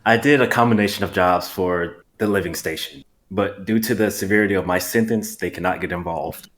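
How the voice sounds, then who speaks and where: A man reading the part of a calm, guilt-ridden male consultant in prison, restrained and defensive. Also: sits low, a little fast, natural voice.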